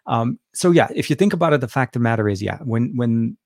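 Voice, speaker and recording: A man's voice: medium pitch, expressive, quick pace, a little mellow, dry recording. A man from Lebanon, hosting a casual live stream Q&A and answering viewers with many fillers and restarts, speaking close to a studio mic in an office. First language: Arabic. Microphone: close dynamic studio mic.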